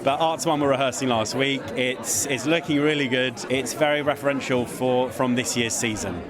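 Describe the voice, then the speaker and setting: A man's voice: mid-range voice, moderate pace. A man, an awards show producer, talking in a casual interview, chatty with frequent restarts and laughs. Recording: busy crowd behind.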